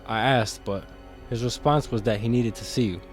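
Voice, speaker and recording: A medium-pitched male guard acted by a man who answers like a loyal subordinate. He is restrained, respectful and matter-of-fact, in an audio drama with soft music underneath. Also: normal speed, natural voice. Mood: deferential. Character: deferential, businesslike male guard serving the arena master.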